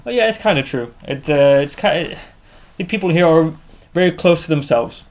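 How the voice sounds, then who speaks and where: A man's voice: on the high side, lively intonation, moderate pace, darkish tone. A man from Corfu, Greece, presenting a casual personal vlog in an informal storytelling way. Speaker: vlogger and presenter. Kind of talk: casual to-camera personal narration.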